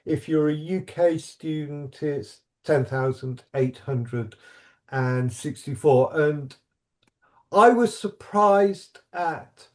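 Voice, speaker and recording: A man's voice: a little high, takes it slowly, darkish tone. A man from a university programme answering questions in a webinar, hesitant and full of fillers and restarts. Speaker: programme staff member.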